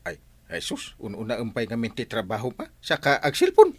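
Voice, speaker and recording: A man with an Ilocano accent playing a nosy, excitable village buddy in a comedy radio drama. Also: medium pitch, brisk, gravelly, slightly rough, no echo, heavily acted. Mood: exasperated.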